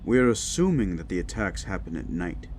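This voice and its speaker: A man playing a gruff, weary demon investigator of the paranormal, thinking out loud in an improvised audio roleplay. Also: sits low, big pitch swings, normal speed, a little hiss or hum. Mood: pensive, probing. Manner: musing, conversational, trailing questions. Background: background music.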